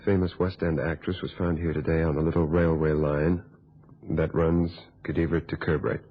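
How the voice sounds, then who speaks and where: Deep, husky opportunistic reporter. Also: male voice, level tone. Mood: formal reporting. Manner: brisk, businesslike, unapologetic. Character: opportunistic, hard-up male newspaper reporter. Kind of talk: old radio drama acting. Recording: dull, lo-fi old radio recording.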